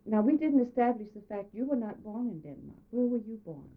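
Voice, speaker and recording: A woman interviewer asking measured, prompting questions and murmuring mm-hmm. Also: on the high side, very animated. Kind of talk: oral history interview. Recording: narrow phone-like old tape.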